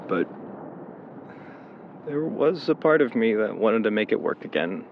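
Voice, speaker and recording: A man acts a young boyfriend in an audio drama, caring and a touch exasperated. He speaks slowly with lively intonation, hesitant and coaxing, over clear vehicle noise in a dull recording. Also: fairly low pitch. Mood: wry, reflective. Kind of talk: naturalistic audio drama acting.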